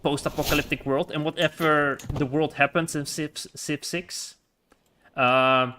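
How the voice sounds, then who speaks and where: A man's voice: fairly high pitch, steady tone, measured pace, sharp tone, a little hiss or hum. A man streaming a strategy game live, chatting casually in a rambling way, laughing often and restarting his words. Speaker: livestreamer.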